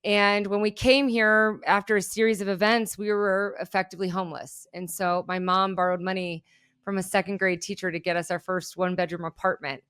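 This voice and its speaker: Smooth-voiced energetic woman from Germany. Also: medium pitch, fairly quick. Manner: energetic, candid storytelling. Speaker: guest, keynote speaker and entrepreneur. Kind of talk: podcast interview.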